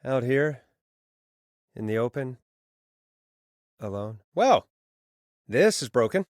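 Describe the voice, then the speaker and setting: A man's voice: medium pitch, very animated, slow, no room sound, studio quality. An actor playing a sardonic, easily exasperated male late-night radio host in a video game, with a wry, conversational delivery. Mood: sarcastic, exasperated.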